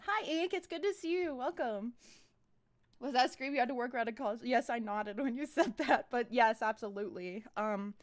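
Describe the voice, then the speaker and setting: A woman with a fairly high voice hosts a live stream. She talks informally and rambles through commentary on her own animations. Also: fairly bright tone.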